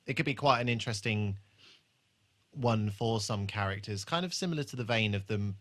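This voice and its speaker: A man's voice: fairly low pitch, a little fast, a bit bright. A man hosting a podcast, talking casually through an episode. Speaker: podcast host.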